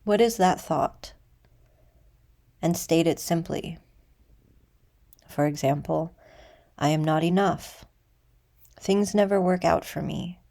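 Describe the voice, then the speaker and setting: Low, mellow, creaky-voiced woman guiding meditation. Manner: measured, deliberate, with pauses. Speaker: guided meditation teacher. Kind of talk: guided meditation instruction.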